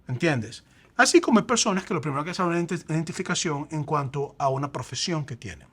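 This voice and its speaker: A man, a pastor, explaining faith and identity in a lively conversational way, acting out quoted examples. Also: on the high side, fast talker, slightly rough.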